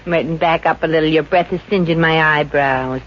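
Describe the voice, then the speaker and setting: A woman's voice: deep voice, steady tone, brisk, lightly acted. An actress playing a sassy, wisecracking young working girl in a 1950s radio comedy. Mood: wry, teasing. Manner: wry, sassy, snappy comebacks. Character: wisecracking, dreamy young working-girl boarder, brassy and quick. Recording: muffled, noisy old radio recording.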